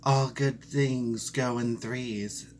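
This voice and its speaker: A reader plays a sly female cat from a storybook, speaking slowly. She is greedy and domineering, with a cool, smug, dismissive manner. Faint noise sits behind the voice. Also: mid-range voice, steady tone, darkish tone. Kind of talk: storybook character voice.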